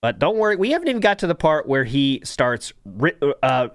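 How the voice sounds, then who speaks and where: A man's voice: mid-range voice, big pitch swings, a little fast, no room sound, clean studio sound. A man hosting a streamed commentary show, chatting casually and mockingly and slipping into sarcastic imitations of others.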